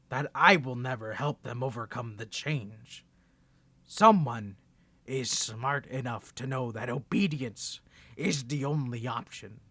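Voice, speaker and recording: A man's voice: a little high, sharp tone, no room sound. A man playing an insane, seductive vampire villain in a roleplay audio drama, speaking straight to the listener in a theatrical, coaxing, condescending way. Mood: menacing, gloating. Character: insane male vampire villain, seductive, menacing, toying with prey.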